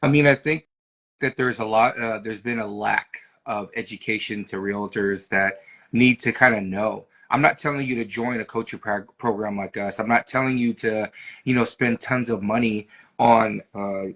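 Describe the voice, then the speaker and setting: A man's voice: medium pitch, pushed and bright. A man with an American accent co-hosting a casual live stream chat, talking in a rambling, conversational way with frequent check-in tags. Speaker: real estate team leader, co-host.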